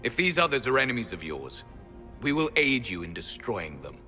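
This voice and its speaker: An actor voicing a proud, weary ancient elf guardian in a video game, speaking in stern, formal, archaic phrases. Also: male voice, mid-range voice, very animated, normal speed, pushed and bright. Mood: resolute. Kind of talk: dramatic video game acting. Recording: long hall reverb, music underneath.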